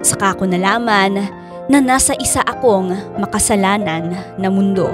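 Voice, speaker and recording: A woman's voice: mid-range voice, fairly even intonation, moderate pace, close to an everyday voice. A woman playing a regretful woman confessing her life story in scripted radio drama narration, solemn and reflective. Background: music.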